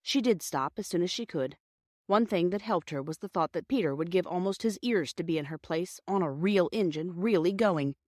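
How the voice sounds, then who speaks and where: A woman's voice: lowish voice, lively intonation, moderate pace, a little mellow, no echo, studio quality. A woman narrating a children's story from a script as an audiobook reader.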